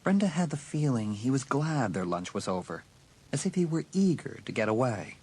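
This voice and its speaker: Husky, animated male audiobook narrator. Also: mid-range voice, moderate pace. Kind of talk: dramatic scripted narration of a teen thriller.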